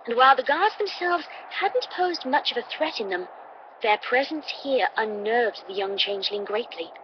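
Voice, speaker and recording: A woman reading a scripted story as an audiobook narrator. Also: a little high. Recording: dull, noisy playback through computer.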